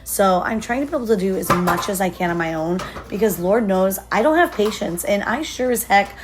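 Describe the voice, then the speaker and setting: A woman in her thirties with a hoarse voice, chatting casually and upbeat through a vlog voiceover. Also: medium pitch, animated, a little fast, background noise. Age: 30s. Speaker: lifestyle vlogger.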